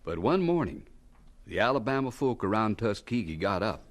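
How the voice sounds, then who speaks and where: A man's voice: fairly low pitch, very animated, moderate pace. A man narrating and announcing a scripted radio drama in a formal storytelling style.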